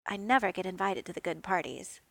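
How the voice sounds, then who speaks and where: A woman reading fan fiction aloud, voicing an earnest, kind male soldier with a soft, hesitant delivery. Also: fairly low pitch, expressive, brisk, creaky, no echo. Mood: dry.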